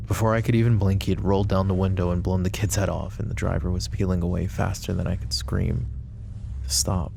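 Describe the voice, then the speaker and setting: A man playing a protective, possessive mafia boss in an intimate roleplay ASMR, speaking deliberately and broodingly straight to the listener. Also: deep voice, level tone, gravelly, noisy background. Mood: grim, regretful.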